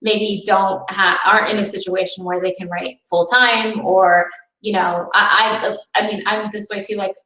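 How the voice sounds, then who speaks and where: A woman's voice: mid-range voice, steady tone, moderate pace, sharp tone. A woman hosting a book talk as interviewer over a video call, friendly and curious, with many fillers. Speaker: interviewer host. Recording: roomy, distant video call audio.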